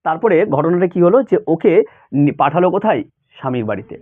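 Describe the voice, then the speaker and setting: A man teaches in an informal, conversational way, pitched a little high with lively swings. He keeps asking short questions to check that his students follow. The sound is thin and narrow, like a phone. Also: a little fast. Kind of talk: informal lesson, explaining a story to school students.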